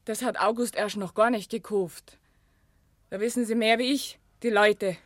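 A woman's voice: medium pitch, fairly even intonation, normal speed, no echo, studio quality, lightly acted. An actress playing an earnest, plain-spoken young village working woman with a Silesian accent in a German radio play. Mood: curt, defiant.

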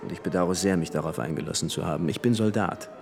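An actor playing a flippant, worldly young French aristocrat and army officer in a radio play, chatting with easy familiarity. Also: male voice, low voice, fast talker, vocal fry, darkish tone, soft background music. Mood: brisk, dismissive. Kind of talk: German radio play dialogue. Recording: long hall reverb.

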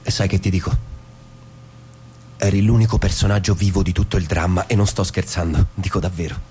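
An actor playing a defensive, self-righteous, wounded husband in an Italian radio stage drama, halting and then cutting. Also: male voice, low voice, fairly even intonation, a little mellow, a little hiss or hum, close to an everyday voice. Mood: placating.

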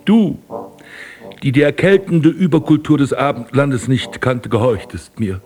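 A man's voice: medium pitch, a little slow, a little mellow, close to an everyday voice. An actor playing a proud, possessive aging prince and father figure, solemn and declamatory in a poetic radio drama. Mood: proud, self-righteous. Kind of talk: literary German radio drama acting.

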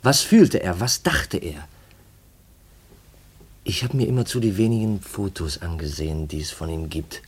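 An earnest young gentleman in a fifties German radio drama. His voice sits fairly low, and he speaks with a brooding, searching intensity and thoughtful pauses. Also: husky. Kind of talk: 1950s German radio play acting.

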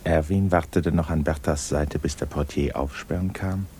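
A man narrating a literary 1950s radio drama in a measured, hushed storytelling manner. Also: extremely low pitch, fairly even intonation, a little hiss or hum. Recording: 1950s radio recording, faint music.

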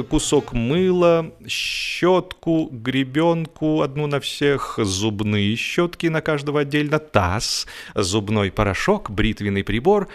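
Casting: male audiobook narrator, mid-pitched, theatrical comic storytelling with pointed pauses, music underneath. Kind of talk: expressive humorous literary narration.

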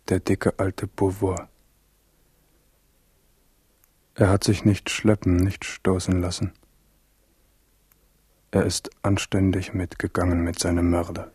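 A man's voice: deep voice, flat delivery, takes it slowly, long pauses, a little mellow, breathy edge, no echo, exaggerated voice. An actor playing a grieving, bitter young king in a German radio drama, brooding and theatrical. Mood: sorrowful remembering.

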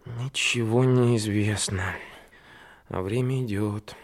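An actor playing an earnest, anxious, brooding seventeen-year-old trainee detective in a radio drama. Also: male voice, fairly low pitch, slow pace. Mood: uneasy. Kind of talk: Soviet radio play acting, inner monologue.

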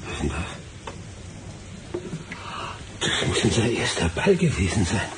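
A man's voice: low voice, very animated, fairly quick, heavy background noise. An actor playing a brooding, agitated male lawyer in a radio play, muttering to himself and breaking into irritated outbursts. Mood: hushed searching. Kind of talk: radio drama monologue, murmured soliloquy and outbursts.

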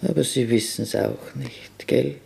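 A man's voice: mid-range voice, measured pace, vocal fry, a little mellow, husky, pitched above the performer's own voice, exaggerated voice. A man voicing an ancient, bitter village innkeeper woman who speaks bluntly in a radio play. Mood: grim. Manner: blunt, curt, grim dialect-tinged speech. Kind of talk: German radio play acting.

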